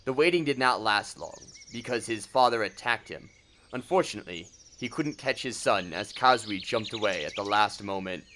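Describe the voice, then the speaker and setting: A man's voice: lowish voice, lively intonation, normal speed, bright, forceful tone, slight background noise. A man reading scripted story narration for an audio drama.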